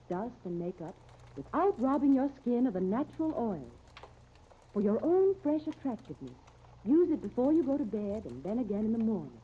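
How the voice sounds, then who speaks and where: A high-voiced woman on a tinny vintage radio recording reads a commercial. Her phrasing is careful and genteel, with lively, persuasive rises and falls. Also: slightly rough. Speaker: commercial spokeswoman. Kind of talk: 1930s scripted radio advertisement. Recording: tinny, hissy 1939 radio disc recording.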